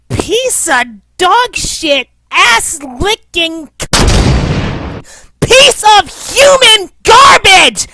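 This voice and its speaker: A woman's voice: extremely high pitch, bright, forceful tone, a little hiss or hum, pitched above the performer's own voice, exaggerated voice. A woman voicing a sweet, polite anime girl with an explosive, foul-mouthed temper in a fan parody.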